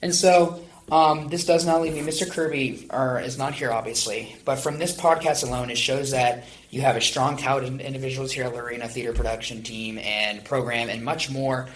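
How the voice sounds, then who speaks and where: A man's voice: mid-range voice, normal speed, poor audio. A man in his late teens hosting a casual podcast interview, talking in a rambling, encouraging way. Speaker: podcast host.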